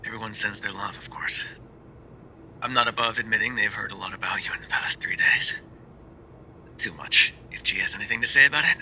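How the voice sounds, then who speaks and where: A man playing a tender, sheepish, bookish mafia boyfriend in an ASMR roleplay, speaking softly and hesitantly as if on a phone call, often dropping to a whisper. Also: on the low side, fairly quick, long pauses, slight background noise. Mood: bored, wry. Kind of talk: ASMR roleplay voice acting, intimate phone voicemails.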